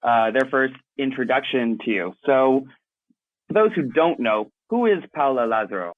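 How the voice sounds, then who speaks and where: A man hosts a casual interview in a mid-pitched voice. He is informal and quick to joke with the guest. The sound is dry and boxed-in, with a narrow phone-like band. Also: lively intonation, no echo. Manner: informal, jokey. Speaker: interviewer, show host. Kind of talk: casual, joking interview chat.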